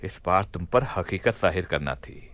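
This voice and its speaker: A man playing a commanding yet gracious Caliph of Baghdad in a radio play, with measured, regal, formal diction. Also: low voice, lively intonation, a bit bright, no room sound. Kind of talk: Hindi radio play acting.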